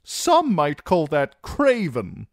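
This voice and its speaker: A man's voice: fairly high pitch, wildly swooping pitch, slow pace, no echo, clean studio sound, voice pushed up, full character voice. A man voicing a gloomy, touchy lord who speaks in a sour, brooding tone. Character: gloomy nervous male lord.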